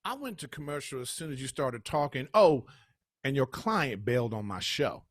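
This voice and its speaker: A man's voice: mid-range voice, sing-song, moderate pace, no echo, clean studio sound. An actor playing a brash, showy male radio host at a comedy table read. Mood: annoyed.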